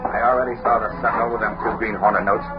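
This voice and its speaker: An actor playing a tough racketeer boss in 1940s radio drama, talking hard-boiled slang and giving orders. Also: male voice, lowish voice, fairly even intonation, racing, loud music behind the voice, close to an everyday voice. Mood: gruff, scornful. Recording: muffled, noisy old radio recording.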